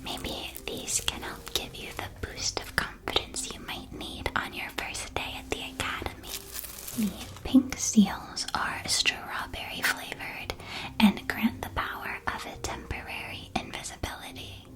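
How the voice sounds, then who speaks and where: A tiny magical sweet shop where a kindly shopkeeper played by a woman whispers gentle, unhurried ASMR sales patter to a young witch customer. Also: mid-range voice, lightly acted. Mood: gentle, helpful. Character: kindly, chatty female candy shopkeeper in a witch world. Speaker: ASMR roleplay performer.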